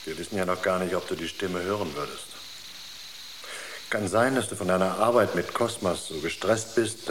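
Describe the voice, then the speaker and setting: A male actor plays a stern, worried man on a space expedition in a radio drama. He has a fairly low voice and an urgent, questioning delivery, with slight background noise. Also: natural voice. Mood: concerned. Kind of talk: German radio drama acting.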